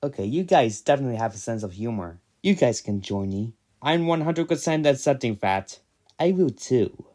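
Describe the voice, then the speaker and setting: A man's voice: medium pitch, animated, moderate pace, darkish tone. A man acting out an amateur audio drama in an everyday, conversational, improvised-sounding voice.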